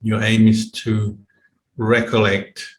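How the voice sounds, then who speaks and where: Slow, even-toned man guiding meditation, roomy echo. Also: lowish voice. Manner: measured, unhurried, with long pauses. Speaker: meditation teacher, Buddhist monk. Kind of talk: guided meditation instruction. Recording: roomy echo, faint background noise.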